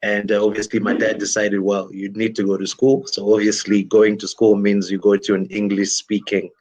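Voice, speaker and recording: A man's voice: on the low side, little pitch movement, normal speed, darkish tone, slight background noise, rough recording. A man from DR Congo whose first language is French, a worship leader talking thoughtfully as an interview guest, with frequent "you know" fillers. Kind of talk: reflective interview talk.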